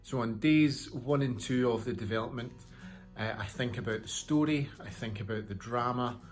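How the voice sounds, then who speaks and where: A man's voice: mid-range voice, normal speed, faint music behind. A man working as a sound designer, explaining his work in an interview style.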